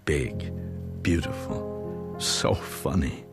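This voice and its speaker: Halting, emotional old comedian. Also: male voice, a bass voice, sing-song, creaky, over loud music, exaggerated voice. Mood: tender, sorrowful. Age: older. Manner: halting, stammering, repeats words. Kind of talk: radio-play style screen drama acting.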